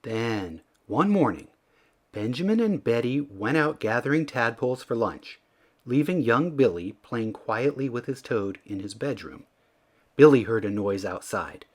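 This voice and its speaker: Male read-aloud narrator for kids' books, mid-pitched, highly animated intonation. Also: measured pace. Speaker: children's story reader. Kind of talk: expressive scripted storybook narration.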